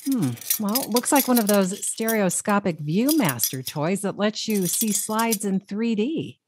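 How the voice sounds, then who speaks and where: A woman's voice: medium pitch, expressive, a little slow, close to an everyday voice. Woman acting a serious American agent, noisy recording. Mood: focused, curious. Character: serious female government agent, dedicated investigator. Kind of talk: radio drama acting.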